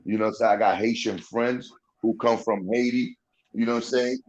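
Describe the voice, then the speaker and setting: A man's voice: mid-range voice, fairly even intonation, brisk, muffled recording. Blunt, profane man in his forties. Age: 40s. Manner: blunt, profane, fills with "you know what I'm saying". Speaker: podcast host. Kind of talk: casual chat.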